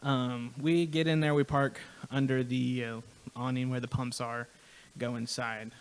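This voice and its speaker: A young man co-hosting a church podcast, telling a personal story in a relaxed, casual way. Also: mid-range voice, measured pace, clear, resonant tone. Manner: relaxed, tells story with lots of "right?". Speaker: podcast co-host.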